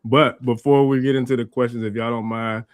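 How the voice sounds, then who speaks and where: Man, host, casual slangy talk, mid-pitched voice, dry close sound. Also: brisk, clean tone, dry recording. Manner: relaxed, slangy, playful. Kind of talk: casual conversational interviewing.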